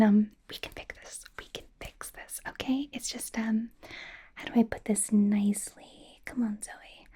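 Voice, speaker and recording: A woman playing a bubbly young female pop idol acting as a personal stylist in a softly whispered, informal, rambling ASMR roleplay. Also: on the high side, full character voice. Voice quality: soft, breathy whisper. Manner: hesitant, rambling, informal, earnest.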